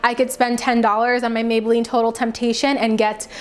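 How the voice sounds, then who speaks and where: Woman, higher-pitched voice, beauty vlogger, casual product review, conversational, emphatic repetition, slightly tired raspy edge. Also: flat delivery, brisk.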